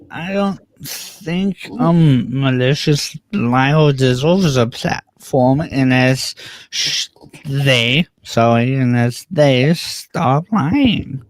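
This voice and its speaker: A man with a speech impediment, speaking haltingly over a video call. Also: a little high, no echo. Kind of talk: casual chat.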